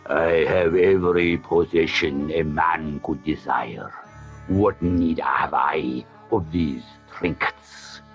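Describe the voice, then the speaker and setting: A man putting on a slow, deep, pompous sultan. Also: exaggerated voice. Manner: slow, grand, proclaiming. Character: pompous booming male sultan, grand and greedy. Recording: slightly dull with faint music.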